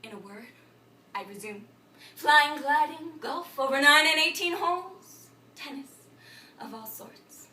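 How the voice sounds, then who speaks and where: A woman playing a frantic, babbling thinker in an absurdist stage monologue, incantatory and repetitive, swinging between muttering and shouting. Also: high-pitched, slow pace, full character voice. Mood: rambling, urgent. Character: frantic, babbling thinker reciting a broken learned tirade. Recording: echoey room, distant microphone.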